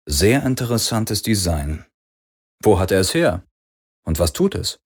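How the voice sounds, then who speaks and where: A slyly genial antagonist, acted by a male narrator with a fairly low, husky voice. He sounds smug and sardonic, drawing out words as he probes, in the manner of an audiobook. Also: expressive, exaggerated voice. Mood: probing, intrigued. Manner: sardonic, drawn-out, probing. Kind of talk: audiobook character voice.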